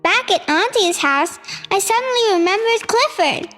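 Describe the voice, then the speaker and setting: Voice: very high voice, lively intonation, normal speed, sharp tone. A young child reading a children's storybook aloud as a storytime host. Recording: reverberant hall sound with music. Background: music.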